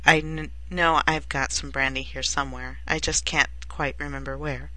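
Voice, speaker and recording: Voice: medium pitch, flat delivery, moderate pace, pushed and bright, dry recording, poor audio. Max, a reader voicing a cheerful, fussy old-world shopkeeper. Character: cheerful, absent-minded male magic shopkeeper.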